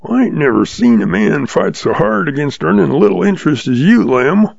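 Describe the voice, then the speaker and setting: A man voicing a wily old male cowboy with a calm, slow Western drawl. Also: on the high side, creaky, breathy edge, dry recording, highs cut off, heavily acted. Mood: calm, sly.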